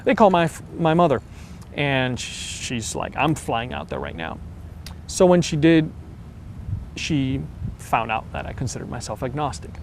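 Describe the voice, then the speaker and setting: A man's voice: mid-range voice, very animated, a little slow, a little hiss or hum. A man in his thirties from Venezuela telling his own story with many ums and restarts. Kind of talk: personal testimony.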